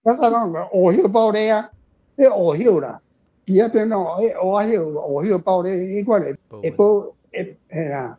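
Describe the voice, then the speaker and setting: A Taiwanese speaker with a mellow, dark tone talks casually and at length. The delivery rambles, repeating phrases and checking in with short questions. The sound is a little muffled and lo-fi. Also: medium pitch, measured pace. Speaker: guest author answering readers' questions. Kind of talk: casual storytelling discussion.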